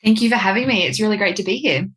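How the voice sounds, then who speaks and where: A woman's voice: medium pitch, rapid-fire, a bit bright. A young adult woman with an Australian accent, a guest on a podcast interview, talking in a chatty, candid way. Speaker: guest, marketing agency owner.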